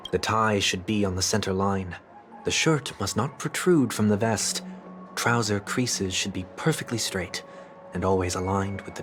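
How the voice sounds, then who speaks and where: A very deep, composed young man, voiced by an actor for a game, speaking in measured, formal sentences. Game music plays clearly behind him. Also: lightly acted.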